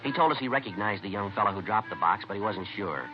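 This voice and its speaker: An actor playing a calm, courteous American police detective in a 1950s radio crime drama, matter-of-fact and clipped. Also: male voice, on the low side, fast talker, music in the background, close to an everyday voice. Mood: flat narration. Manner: deadpan, matter-of-fact, polite. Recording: dull, noisy vintage radio recording.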